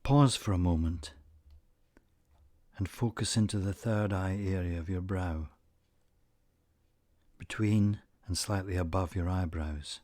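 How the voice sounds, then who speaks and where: Slow, low-voiced man guiding meditation. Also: a little mellow, no room sound. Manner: soothing, measured, with frequent pauses. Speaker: meditation guide. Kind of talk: guided meditation narration, scripted.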